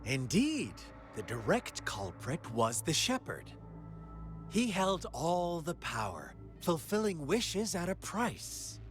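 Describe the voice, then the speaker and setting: An actor playing a sinister, taunting game villain who tells a twisted fairy tale in a theatrical, drawn-out manner. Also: male voice, on the high side, very animated. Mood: taunting, probing. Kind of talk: video game character acting, storytelling monologue. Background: background music.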